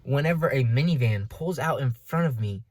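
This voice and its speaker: A man's voice: mid-range voice, animated, moderate pace, a little mellow, dry recording. A young American man telling a personal story casually, with dramatic emphasis and sarcastic asides. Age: young adult. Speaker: vlogger. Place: inside a car.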